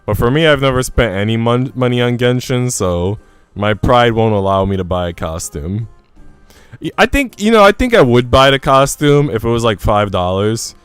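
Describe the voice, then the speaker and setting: A man's voice: mid-range voice, very animated, moderate pace, smooth, no room sound, soft background music. A man livestreaming a game, talking casually with informal slang and reading story text aloud. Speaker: game streamer.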